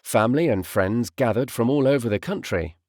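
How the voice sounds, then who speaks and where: A man narrates a novel in the first person. His delivery is reflective and measured, with a lively rise and fall in pitch. The sound is dry and intimate, with little room in it. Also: no room sound. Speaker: audiobook narrator, voice artist.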